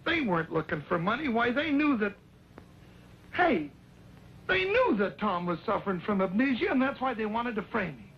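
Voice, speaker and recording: A folksy Western sidekick with a notably high voice. He is excitable and loyal, quick to exclaim in cowboy slang. The recording is dull, with a faint layer of old radio noise. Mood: indignant. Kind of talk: mid-century Western drama acting.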